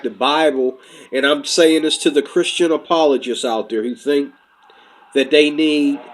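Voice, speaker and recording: A man giving a casual, rambling commentary monologue, talking from notes and drifting off topic, on faith and identity. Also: a little high. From United States. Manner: rambling, talks from notes, puts on quoted voices.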